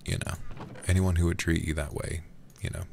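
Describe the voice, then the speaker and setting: A man livestreaming a game in a soft, soothing ASMR style, chatting casually and affectionately close to the microphone. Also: low-pitched, fairly even intonation, a little fast, creaky, slight background noise. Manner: soothing, affectionate, drops into whispers. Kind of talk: ASMR gaming stream, soft casual chat. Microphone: close streaming microphone.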